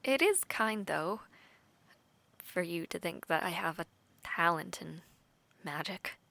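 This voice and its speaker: Woman as earnest young female knight trainee, affectionate, hushed and halting, slow delivery, edge of vocal fry. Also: fairly low pitch, expressive, dry recording, heavily acted. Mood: warm, reflective. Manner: soft, intimate, often whispery with light laughs and hesitant restarts. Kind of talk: intimate audio roleplay.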